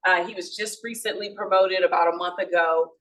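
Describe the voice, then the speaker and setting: A woman police commander with a fairly low voice talks informally to a neighborhood meeting. She speaks without a microphone, so she sounds distant across the room. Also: fairly even intonation, fairly quick, fairly bright tone. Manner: informal, chatty, with many restarts and fillers. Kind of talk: community meeting talk.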